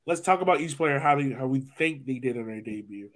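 A man's voice: medium pitch, animated, a little fast, a bit bright. American man hosting a soccer chat. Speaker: sports podcast host. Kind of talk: casual soccer discussion.

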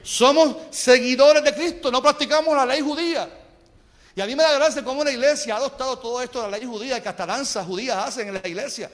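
A man's voice: very high voice, quick pace, fairly bright tone, long reverb tail. A man with a Puerto Rican accent preaching a passionate sermon, calling on the congregation for amens. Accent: Puerto Rican Spanish. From Puerto Rico. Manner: impassioned, calls the congregation for amens. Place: church sanctuary.

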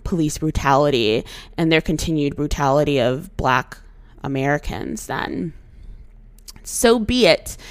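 A young woman hosting her solo podcast, her voice a little hoarse, talking earnestly and outspokenly with animated emphasis. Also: low-pitched, dry recording, studio quality. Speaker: podcast host.

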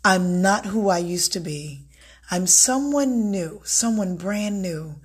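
A woman hosting an unscripted self-help podcast, with a raspy voice that sounds a little strained from a recent illness, talking earnestly and repeating words for emphasis. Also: medium pitch, expressive, slow. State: recovering from illness, slightly strained voice. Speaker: podcast host.

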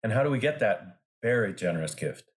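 A man's voice: fairly low pitch, expressive, brisk. A man hosting a podcast interview, playful and jokey, with enthusiastic praise for his guest. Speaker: podcast host.

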